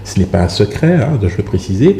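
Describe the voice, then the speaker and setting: A man hosting a television interview, putting polite, wordy questions to a guest in a measured, formal style. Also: on the low side, sing-song, warm tone. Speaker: TV interview host. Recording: roomy echo, faint background noise.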